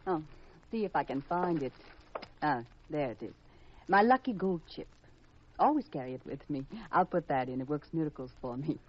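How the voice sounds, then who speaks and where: A woman's voice: low-pitched, expressive, normal speed, slight background noise. A woman acting a coy, teasing, thrill-seeking gambler, a femme fatale in a 1950s radio mystery. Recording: muffled old radio recording.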